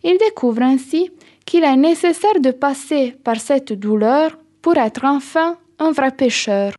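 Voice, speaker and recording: A woman hosting a radio book show, reading a prepared presentation and asking interview questions in a measured way. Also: sits high, expressive, warm tone. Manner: measured, reads from a script.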